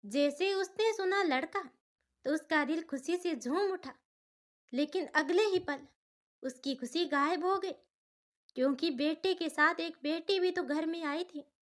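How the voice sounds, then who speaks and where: A woman's voice: very high-pitched, a little slow. A woman storyteller narrating a scripted cartoon family tale.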